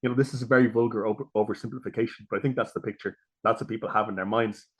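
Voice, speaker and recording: Fast-talking Irish male podcast host. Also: on the low side, steady tone, husky. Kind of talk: conversational interviewing.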